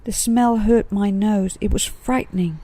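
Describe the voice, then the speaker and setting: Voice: medium pitch, fairly even intonation, a little slow, warm tone, voice pushed up, natural voice. A storybook narrator voicing a frightened, adventurous young male koala with an urgent, exclaiming manner. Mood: terrified.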